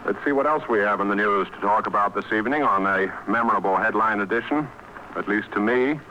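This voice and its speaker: A man anchoring a live radio news bulletin, calmly stalling as the links to his reporters fail. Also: on the low side, fast talker, dull audio, background noise. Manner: composed, stalling through failed links.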